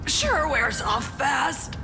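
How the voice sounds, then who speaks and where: A woman's voice: sits high, sing-song, extremely slow, gravelly, exaggerated voice. A woman playing a brash, mocking banquet guest in a fantasy game. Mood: scornful, tipsy. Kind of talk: scripted fantasy game acting. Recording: echoey hall, music and crowd behind.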